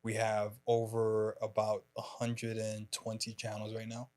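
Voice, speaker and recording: A man in his twenties from Haiti, a podcast guest who talks softly in casual chat and laughs often. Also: on the low side, monotone, unhurried. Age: 20s. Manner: soft-spoken, laughs often, hesitant fillers.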